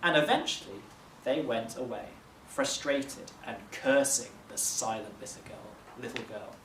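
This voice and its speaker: A man narrating a children's story expressively, like a teacher reading aloud, with measured dramatic phrasing. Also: mid-range voice. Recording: roomy, distant mic, faint noise.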